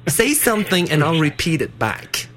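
Playful Malaysian man with a lively voice. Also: on the high side, normal speed, soft background music. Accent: Malaysian with some American. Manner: playful, giggly, self-mocking. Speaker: podcast host. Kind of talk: casual chat on a conference call.

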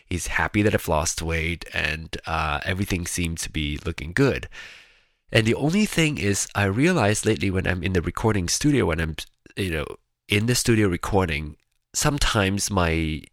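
A man chatting casually in a rambling personal monologue, sounding nasal and congested, with a slightly raspy, gravelly voice. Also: low-pitched, expressive, normal speed, fairly bright tone, no echo. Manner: rambling, chatty, with frequent restarts. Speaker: vlogger.

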